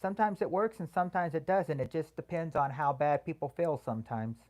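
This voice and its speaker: A livestream host with a voice that viewers call soothing, chatting casually and haltingly with viewers and answering their comments. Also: mid-range voice, darkish tone. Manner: hesitant, halting, full of restarts.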